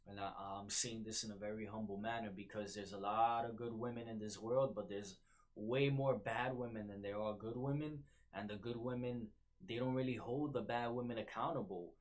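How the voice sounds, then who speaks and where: A man with a New York accent hosting a solo podcast, rambling with many fillers and restarts. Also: on the low side. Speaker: podcast host.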